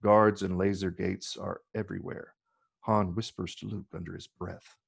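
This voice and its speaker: A man with a gravelly, husky voice reading scripted screenplay narration. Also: sits low, flat delivery, moderate pace. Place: virtual call from separate location.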